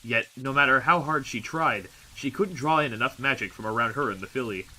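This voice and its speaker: A man narrates a story in a medium-pitched voice with a bright, forceful edge. His delivery is scripted and expressive, like a dramatic audiobook. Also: brisk, poor audio.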